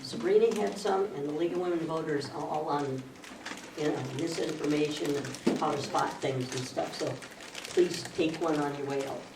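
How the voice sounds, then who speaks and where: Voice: mid-range voice, moderate pace, far from the mic. A moderator introducing two speakers at a community meeting in a plain, friendly way, with small joking asides. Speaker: moderator for the organizing group. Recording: echoey room audio recorded from afar, with noise.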